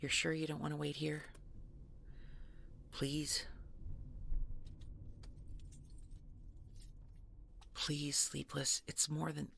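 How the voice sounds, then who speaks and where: A woman playing a protective, devoted supernatural girlfriend in an intimate audio roleplay, breathy and halting, often near a whisper. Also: sits low, completely flat delivery, a bit bright, slight background noise, exaggerated voice. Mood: pleading, resigned.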